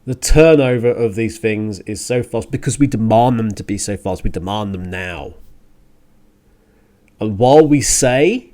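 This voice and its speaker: A man hosting a radio show, talking in an earnest, emphatic monologue with deliberate pauses and repeated phrases. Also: on the low side, warm tone. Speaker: radio show and podcast host. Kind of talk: spoken opinion monologue on a music radio show.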